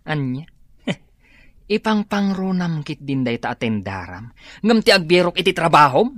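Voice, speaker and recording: Actress as a low, scornful, bickering daughter. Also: female voice, big pitch swings, normal speed, no echo, studio quality. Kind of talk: Filipino radio drama acting in Ilocano.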